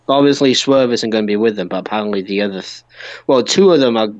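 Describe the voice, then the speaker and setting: A man with a fairly low voice talks casually as a podcast co-host. The sound is slightly muffled, with some room echo around him. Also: quick pace. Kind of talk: casual wrestling podcast chat.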